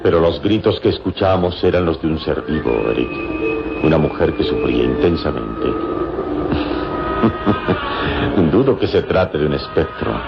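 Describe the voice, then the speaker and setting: An actor playing a calm, shrewd and sceptical hero in a radio serial, speaking in a deep, gravelly, measured voice. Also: male voice, heavily acted. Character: calm, shrewd heroic adult man, quietly sceptical. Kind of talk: vintage radio serial acting. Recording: dull radio recording with music.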